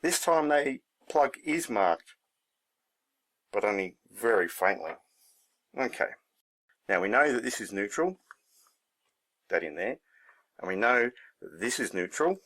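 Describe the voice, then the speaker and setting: A man's voice: on the low side, big pitch swings, measured pace, pauses often, a bit bright. A man giving a casual hands-on demonstration, thinking aloud in a laid-back, unscripted way as he works. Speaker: hobbyist presenter.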